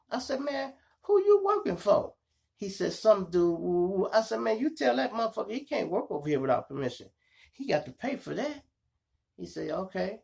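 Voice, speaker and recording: A man with a Chicago accent and a gravelly voice, telling street stories casually to his viewers in slangy, profane talk. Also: high-pitched. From Chicago, United States. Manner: animated retelling, slangy, profane, acts out dialogue and sound effects. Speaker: storytelling podcast host.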